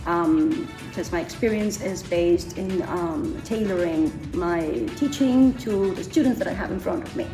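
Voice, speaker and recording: Lively woman teacher from Argentina. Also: fairly low pitch, a little slow, hardly any pauses. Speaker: Spanish teacher. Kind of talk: interview clip. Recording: echoey room with music bed.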